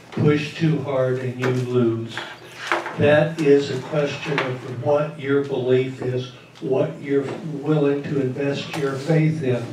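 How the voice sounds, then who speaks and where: A man's voice: mid-range voice, a little mellow, distant mic, a little hiss or hum. Older man, earnest and persuasive, heard from afar in an echoey hall. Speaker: meeting attendee. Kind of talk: political appeal at a meeting. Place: large meeting hall indoors. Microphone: recorded from far camera.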